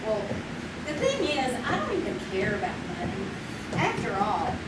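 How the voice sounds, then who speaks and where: A woman's voice: mid-range voice, moderate pace. A woman playing a romantic, bubbly teenage schoolgirl in a live old-time radio drama. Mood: chatty. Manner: bubbly, giggly, lively. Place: theater stage. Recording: roomy, distant live stage recording with noise.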